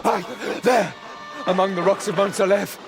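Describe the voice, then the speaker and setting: Anguished, high-pitched young scientist. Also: male voice, fairly even intonation, normal speed, music in the background. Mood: horrified, frantic. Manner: melodramatic, impassioned, archaic phrasing. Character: anguished, guilt-ridden young male scientist. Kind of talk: theatrical dramatised audiobook acting.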